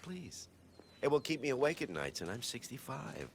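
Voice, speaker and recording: A man's voice: mid-range voice, big pitch swings, normal speed, vocal fry, a little hiss or hum. A man playing a crude, sardonic sixty-five-year-old in a video game, with sarcastic, earthy delivery. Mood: sardonic, dismissive. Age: 60s. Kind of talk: dramatic video game acting.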